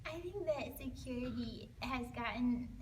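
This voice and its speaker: A woman's voice: on the high side, unhurried. A twenty-one-year-old woman giving plain, brief interview answers. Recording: distant mic with clear noise.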